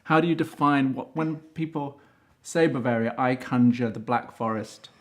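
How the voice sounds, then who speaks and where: A man in a relaxed interview, talking in a chatty way and often cutting in with his own remarks. His voice sits at an ordinary middle pitch for a man, and his easy laugh comes through often. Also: normal speed. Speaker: interviewer and show host. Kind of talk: casual interview chat.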